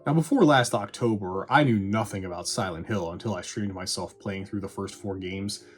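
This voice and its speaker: A man with a husky voice giving casual, scripted movie review commentary in a sarcastic, irreverent, sweary manner. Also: lowish voice, moderate pace, over loud music. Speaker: video reviewer.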